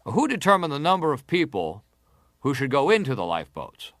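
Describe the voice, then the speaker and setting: An actor playing an insistent senator who leads a formal inquiry, questioning in a pressing, formal manner. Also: male voice, a little high, wildly swooping pitch, brisk, fairly bright tone, no echo, clean studio sound. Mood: probing, formal. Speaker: actor reading testimony. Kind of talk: dramatized reading of hearing testimony.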